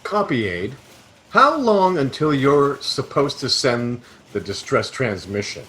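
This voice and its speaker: A man playing an unflappable interstellar adventurer in a sci-fi satire, measured, polite and deadpan. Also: medium pitch. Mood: dry, composed. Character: unflappable, wry male interstellar adventurer detective. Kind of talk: comic sci-fi audio drama acting. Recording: slightly noisy home recording.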